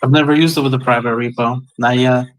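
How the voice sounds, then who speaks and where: A man's voice: mid-range voice, steady tone, quick pace, poor audio. A man searching for an answer while he talks, hesitant and thinking aloud with many ums. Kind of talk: casual chat.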